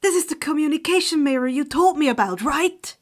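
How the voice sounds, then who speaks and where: A kind teenage boy wizard in a fantasy storybook, as a woman reader plays him. He is earnest and quick to apologize, with a light, high voice. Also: animated. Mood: excited.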